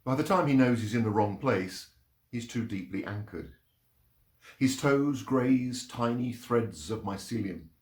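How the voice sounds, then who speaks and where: Male literary reader, unhurried, widely varied intonation, roomy acoustic. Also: medium pitch. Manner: measured, expressive storytelling delivery. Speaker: reader of poems and short stories. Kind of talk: literary reading aloud, performed narration. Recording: roomy, echoing sound.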